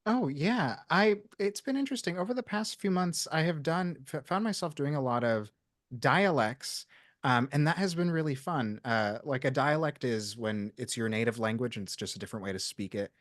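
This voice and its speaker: Lively Brazilian man on a dry call. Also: mid-range voice, normal speed, no echo. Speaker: audiobook narrator. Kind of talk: panel chat.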